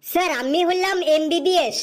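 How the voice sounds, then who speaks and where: A woman's voice: very high-pitched, moderate pace. A woman voicing a cheeky, smart-mouthed young jobless man in a comic cartoon, with glib, teasing back-talk. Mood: playfully earnest.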